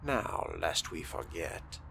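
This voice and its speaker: A man giving sardonic, theatrical in-character commentary on a video game, with deliberate phrasing and a gravelly, breathy voice. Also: extremely low pitch, big pitch swings, bright, forceful tone, slight background noise. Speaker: role-play game player narrating in character.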